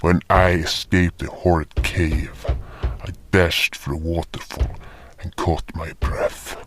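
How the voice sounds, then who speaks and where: A man playing a solemn hunter who narrates a fantasy tale of battle in a grave, breathy, deliberate way. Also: deep voice, background noise. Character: solemn hunter recounting a monster fight. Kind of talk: scripted dramatic fantasy story narration. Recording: music bed behind the voice.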